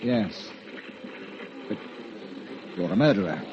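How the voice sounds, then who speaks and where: Gruff, wary sea captain, muffled radio. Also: male voice, on the low side, animated, takes it slowly, background noise. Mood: reluctant. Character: gruff, wary sea captain narrating his tense tale. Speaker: actor and narrator in a radio drama. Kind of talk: 1940s radio drama acting. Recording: muffled old radio broadcast with music.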